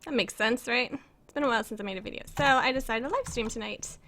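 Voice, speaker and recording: A woman's voice: on the high side, quick pace, pushed and bright, a little hiss or hum. An American woman chatting casually to viewers on a live stream, rambling in a self-conscious, giggly way. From United States. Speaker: live streamer and game map maker. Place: indoors at a computer in an apartment.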